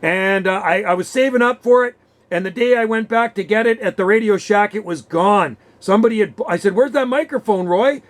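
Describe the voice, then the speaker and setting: A man's voice: high-pitched, fairly quick. A Canadian man of about sixty, chatty and enthusiastic, telling stories in casual radio conversation. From western Canada. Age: around 60. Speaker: CB radio hobbyist. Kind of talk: casual radio chat, reminiscing. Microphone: vintage desk radio microphone.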